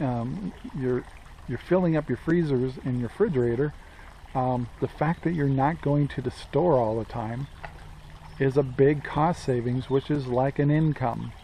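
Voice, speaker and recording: A man's voice: medium pitch, dark tone, a little hiss or hum. A man in his sixties talking casually to camera outdoors in his yard, rambling with many ums and asking and answering his own questions. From Central Oregon, USA. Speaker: homestead vlogger. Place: outdoors in a sunny yard with trees. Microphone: camera close in front, selfie framing.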